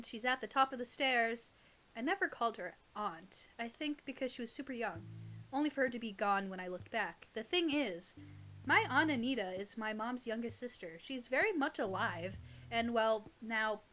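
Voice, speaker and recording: A woman's voice: on the high side, fairly bright tone, poor audio. A woman co-hosting a casual reading of online stories, chatting and teasing in a playful way. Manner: playful, teasing banter with co-host. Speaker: host and reader of online horror stories.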